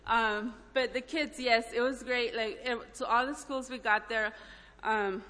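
A woman from El Salvador giving an informal church testimony in a halting, gently earnest, emotional way. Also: fairly high pitch, fairly even intonation. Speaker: church mission trip member giving a testimony. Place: large indoor church hall, speaking up front. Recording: echoey hall with long reverb.

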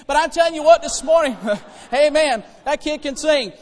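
A man preaching to a congregation in a folksy, conversational way, moving between hushed asides and loud exhortation. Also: very high-pitched, moderate pace. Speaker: preacher and church choir director. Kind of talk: animated sermon to a congregation. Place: church hall, preaching to congregation. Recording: reverberant hall with long echo.

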